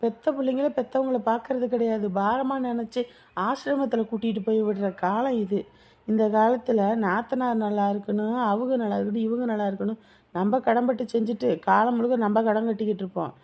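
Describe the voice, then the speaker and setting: A blunt, scheming young sister-in-law, as an actress plays her pushes her case hard. Her tone is mellow and a little higher than usual. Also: fairly even intonation, fairly quick. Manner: blunt, persuasive.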